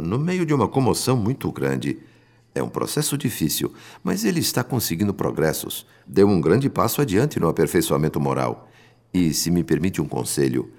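An actor playing a solemn, compassionate spirit mentor, narrating a radio drama in formal, measured phrases. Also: male voice, low voice, darkish tone. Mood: reassuring, advising. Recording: long reverb, music underneath.

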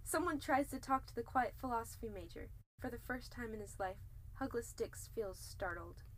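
A woman's voice: fairly high pitch, animated, normal speed. A young woman co-hosting and narrating a student podcast in a casual, playful style. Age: young adult. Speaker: co-host and narrator.

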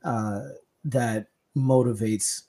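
A young man from Kenya hosts a casual podcast chat. His voice is mellow and relaxed, and he talks haltingly, restarting his sentences and leaning on fillers. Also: medium pitch. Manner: hesitant, many restarts and fillers. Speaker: podcast host. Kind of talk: casual conversation.

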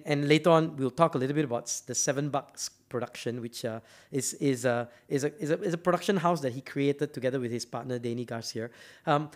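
Guy doing a motivational talk, kinda high voice that jumps around a lot, stumbles and repeats words. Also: a little mellow. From Malaysia. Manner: emphatic, repeats words while building up to a point. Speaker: speaker.

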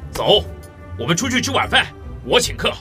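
A man's voice: high-pitched, big pitch swings, normal speed, pushed and bright, faint music behind, exaggerated voice. An actor playing a big, hearty, plain-spoken man in a Mandarin audio drama, with an emphatic manner. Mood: hearty, jovial.

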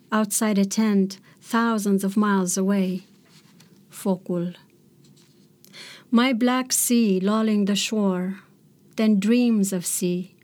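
A middle-aged woman from Romania, a poet reading her work and answering interview questions in a thoughtful, measured way. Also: mid-range voice, darkish tone. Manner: thoughtful, measured, searching for words.

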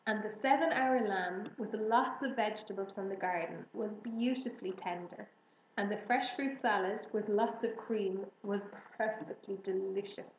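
A woman reading a children's book aloud as storybook narration. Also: medium pitch. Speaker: storybook reader. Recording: roomy echo, faint background noise.

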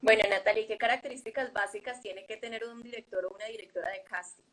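A woman's voice: on the high side, steady tone, quick pace, a bit bright. A woman hosting an interview, who asks questions, reads audience questions and answers with short agreeing sounds. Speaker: interview host. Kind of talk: casual interview.

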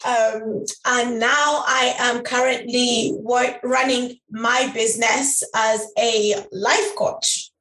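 A woman who grew up in Uganda, a life coach and podcast guest, telling her life story in a thoughtful way with many pauses and fillers. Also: a little high, steady tone, a bit bright, roomy sound, low-quality recording. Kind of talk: conversational storytelling.

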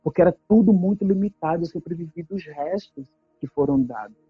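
Husky-voiced woman. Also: lowish voice, fairly quick, no echo, phone-quality sound, faint music behind. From Brazil. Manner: earnest, emphatic storytelling, tag questions. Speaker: activist speaker. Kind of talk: personal testimony talk.

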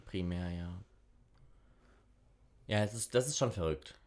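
A man co-hosting a casual chat podcast, bantering in a relaxed, slangy way. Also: low-pitched, measured pace. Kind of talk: casual chat, guessing game.

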